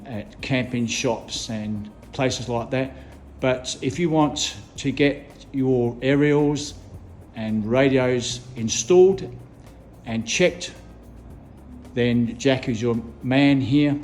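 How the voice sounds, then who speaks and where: A man's voice: medium pitch, darkish tone. A middle-aged man, a tour guide, casually explaining car radios and aerials in a radio shop and workshop, with many ums and uhs. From Australia.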